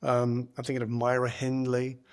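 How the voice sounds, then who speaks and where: A man's voice: mid-range voice, completely flat delivery, normal speed. A man hosting a podcast from his front room, chatty and jokey. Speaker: podcast host. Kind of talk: casual podcast chat. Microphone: single earpiece, home setup.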